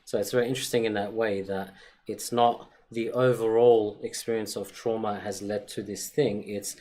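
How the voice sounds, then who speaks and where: Low-voiced man reflecting on a panel. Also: fairly even intonation, moderate pace, dark tone. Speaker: guest sharing personal experience of therapy. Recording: clearly noisy background.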